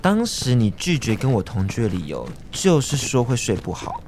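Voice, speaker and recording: A man acts a bitter young ex who presses his accusations with sarcasm. His Taiwanese Mandarin is medium in pitch and very animated, a radio drama performance over faint ambient noise. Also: moderate pace. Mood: sulky, suspicious.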